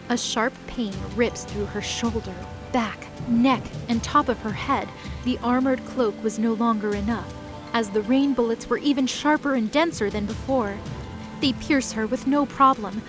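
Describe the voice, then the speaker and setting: Lady narrating a fantasy drama, bit high, clear music under her. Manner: measured storytelling. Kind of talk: scripted audio drama narration. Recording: narration over loud music.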